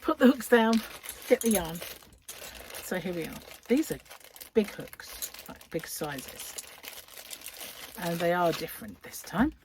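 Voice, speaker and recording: Unhurried, gushing woman, noisy crinkly recording. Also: on the low side, big pitch swings, darkish tone. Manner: gushing, admiring, often breathy asides. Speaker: craft vlogger and yarn shop owner. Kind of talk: casual unboxing chat to followers. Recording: clear background noise with crinkling wrappers. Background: crinkling cellophane, clicks and rustling.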